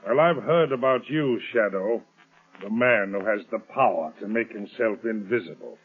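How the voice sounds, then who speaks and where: A man's voice: lowish voice, normal speed, husky. An actor playing a cocky, threatening male kidnapper who sneers his defiance in a 1930s radio drama. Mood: sneering. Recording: tinny old radio broadcast.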